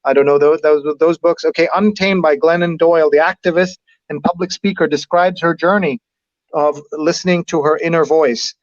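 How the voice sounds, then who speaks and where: A man's voice: a little high, steady tone, normal speed, dry recording, highs cut off. A man hosting a live read-along, chatting enthusiastically and hopping between asides as he reads out and comments. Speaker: host of a live newspaper read-along show.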